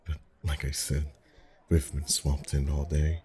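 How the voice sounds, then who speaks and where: A man playing a friendly, chatty festival face painter in an ASMR roleplay, soft-spoken, reassuring and gently flirtatious. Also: a bass voice, level tone, a little slow. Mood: warm, chatty. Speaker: voice actor.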